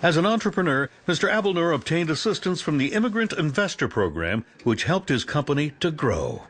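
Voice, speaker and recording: A man's voice: fairly high pitch, very animated, a little fast, fairly bright tone, a little hiss or hum. A man reading polished, upbeat promotional narration. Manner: polished, upbeat announcer delivery. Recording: voice-over over music.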